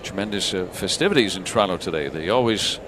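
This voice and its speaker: A man calling baseball play-by-play on live radio in unhurried, clipped phrases. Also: sits low, lively intonation. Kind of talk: live radio sports commentary. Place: baseball ballpark broadcast booth. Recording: clear crowd and ambient noise. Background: outdoor stadium ambience.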